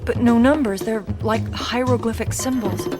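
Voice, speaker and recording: An actress playing a curious, wary woman adventurer in a radio drama, musing in an understated, measured way. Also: on the high side, steady tone, lightly acted. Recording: loud music behind the voice.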